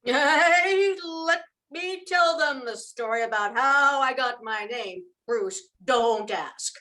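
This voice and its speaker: High, bright woman as a chatty talking horse. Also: slow, full character voice. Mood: indignant, comic. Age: older. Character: indignant gelding talking horse, comic and chatty. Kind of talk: amateur old-time radio comedy acting.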